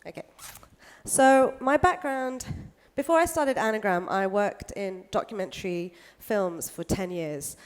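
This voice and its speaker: A woman's voice: medium pitch, a little slow. A woman from the UK giving a casual conference talk, with lots of "kind of" and "like" fillers. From United Kingdom. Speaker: speaker. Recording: echoey hall.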